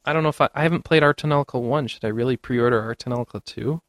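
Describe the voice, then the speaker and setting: A man's voice: medium pitch, rapid-fire, dry recording, studio quality. A man hosting a casual panel show, reading out game news and bantering with the others.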